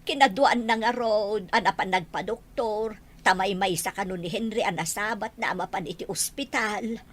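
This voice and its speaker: A woman's voice: mid-range voice, moderate pace, natural voice. A woman playing an anxious, devoted old mother in an audio drama, pleading plaintively with an Ilocano Filipino accent.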